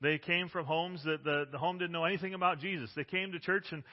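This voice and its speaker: Loud-voiced male pastor preaching in a hall. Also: on the high side, steady tone, quick pace. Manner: loud, emphatic preaching with frequent restarts. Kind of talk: sermon. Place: church sanctuary. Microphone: handheld or stand microphone. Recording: dull sound with long hall reverb.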